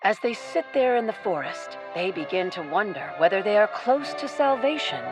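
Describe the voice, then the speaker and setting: A woman's voice: mid-range voice, expressive, normal speed, over music. A woman narrating an adventure radio play in a dramatic, scripted storytelling style.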